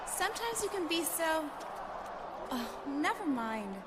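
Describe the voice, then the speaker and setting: High-voiced flirtatious wrestling lady. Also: very animated, a little slow, noisy background, heavily acted. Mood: flirty, exasperated. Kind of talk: video game cutscene acting.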